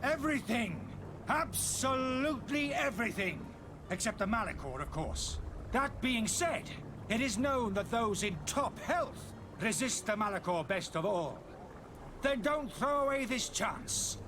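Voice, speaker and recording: A man playing a pompous, theatrical charlatan in a video game, selling potions with smooth, grandiose showman's patter. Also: very high voice, animated, a little slow, noisy background. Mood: showy salesman zeal.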